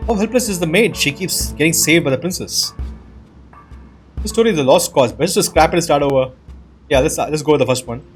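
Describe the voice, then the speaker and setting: A man's voice: a little high, a little fast, soft background music. A man doing casual let's play commentary as host, thinking aloud hesitantly. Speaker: gaming channel host.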